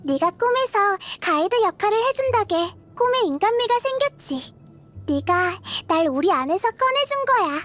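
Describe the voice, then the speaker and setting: A woman voicing a tiny, childlike goddess of wisdom in a video game, cute and girlish, gentle, curious and thoughtful, musing softly. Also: extremely high pitch, very animated, moderate pace, soft background music, exaggerated voice. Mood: tender, curious. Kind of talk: video game character voice lines.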